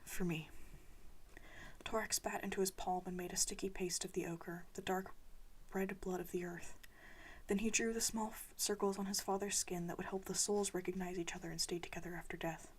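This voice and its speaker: A woman reads a story aloud almost entirely in a soft, steady whisper. Her voice is breathy and husky, sitting at a middle pitch for a woman. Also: brisk. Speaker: reader.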